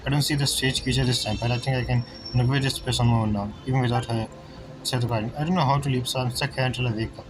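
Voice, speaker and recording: A man's voice: mid-range voice, steady tone, very fast, noisy background. A man giving casual commentary as he streams a game playthrough.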